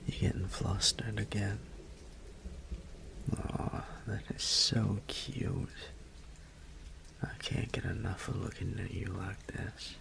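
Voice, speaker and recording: Man acting low, breathy, gravelly flirtatious roommate. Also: flat delivery, slow pace, pauses often, whispered, exaggerated voice. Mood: flirty, teasing.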